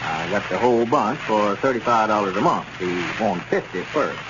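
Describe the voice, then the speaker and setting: A man's voice: lowish voice, lively intonation, normal speed, close to an everyday voice. An actor playing a friendly, helpful small-town man in a 1930s radio serial. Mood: friendly, easygoing. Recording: muffled, noisy 1930s radio recording.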